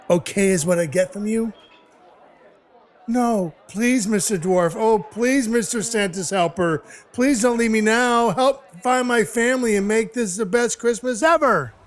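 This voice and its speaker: A man's voice: sits high, takes it slowly, pauses often, a faint crowd. A man playing a brash, wisecracking dwarf who is Santa's helper, narrating and acting in an audio play with blunt, wry phrasing. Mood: exasperated, then mock pleading. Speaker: narrator and lead character.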